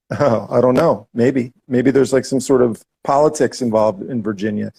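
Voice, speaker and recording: A man's voice: medium pitch, a little slow, creaky, dark tone, slightly rough. A man hosting a casual podcast chat, joking around with lots of restarts and goofy asides. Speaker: podcast host.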